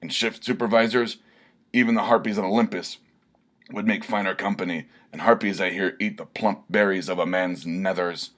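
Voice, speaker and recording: A man voicing a bombastic, lecherous barbarian swordsman who booms out grand, self-pitying speeches. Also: steady tone, normal speed, fairly bright tone. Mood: sobbing lament. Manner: booming, theatrical bellowing.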